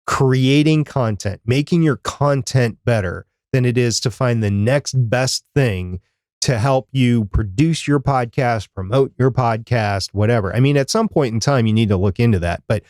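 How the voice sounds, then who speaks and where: A man's voice: medium pitch, moderate pace, a little mellow, no room sound, clean studio sound. A man speaking as a podcast co-host in a casual, conversational chat.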